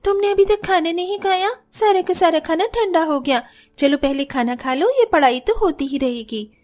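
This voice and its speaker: A woman's voice: extremely high pitch, moderate pace, darkish tone. A woman playing a kind, earnest young sister-in-law in a cartoon family story. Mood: affectionate. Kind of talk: Hindi cartoon story acting.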